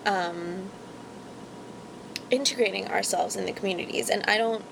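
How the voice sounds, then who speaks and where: Woman, gravelly voice, candid casual chat, podcast co-host, noisy rumbly recording. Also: normal speed. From Pakistan. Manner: candid, chatty, laughs while talking. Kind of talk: casual conversation.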